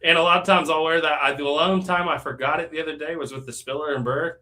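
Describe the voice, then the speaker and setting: A man with a bright, forceful voice sitting a little high in pitch chats as a guest on a live stream. He talks easily and loosely, leaning on fillers and quick laughs. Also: fairly even intonation. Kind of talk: casual live-stream chat.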